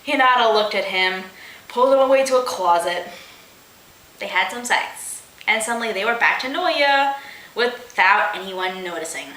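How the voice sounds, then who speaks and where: A woman's voice: mid-range voice, lively intonation, moderate pace, bright, forceful tone, echoey room, rough recording. A woman hosting a comic read-aloud of amateur fan fiction, giggly, mock-dramatic and incredulous in her delivery.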